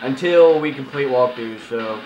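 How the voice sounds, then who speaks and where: A man's voice: mid-range voice, moderate pace, lo-fi sound. A young man giving casual, goofy game playthrough commentary, recorded on a camera in a room in front of a TV. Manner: goofy, makes nonsense sounds and sing-song. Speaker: gaming video host. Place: indoor room in front of a television. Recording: roomy, picked up near a TV playing music. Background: game music from the TV.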